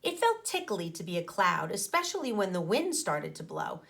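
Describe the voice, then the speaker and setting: A woman teacher reading a children's story aloud expressively, pausing to explain things to her young listeners. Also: mid-range voice, very animated, vocal fry, fairly bright tone. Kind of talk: animated storybook read-aloud with asides to children.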